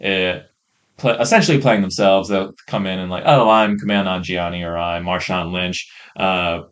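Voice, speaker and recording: A man co-hosting a podcast, chatting casually in a rambling, hesitant way about what he has watched, on a rough microphone. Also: low voice, normal speed, a bit bright. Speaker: podcast co-host reviewing films and shows. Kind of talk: casual chat. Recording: rough podcast mic audio.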